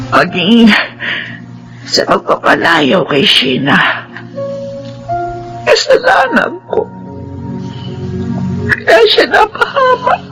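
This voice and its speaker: An actress playing a dying, remorseful elderly grandmother in a radio drama, speaking weakly and haltingly with tearful pleading. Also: on the high side, gravelly, exaggerated voice, low-quality recording. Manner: slow, weak, halting and tearful.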